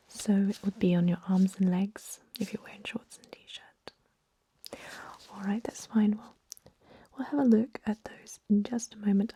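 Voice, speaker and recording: A woman playing an ancient vampire emergency-room doctor in an ASMR roleplay, speaking in a soft, breathy, confiding whisper with hesitant, unhurried phrasing. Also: medium pitch, no echo. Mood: caring, clinical.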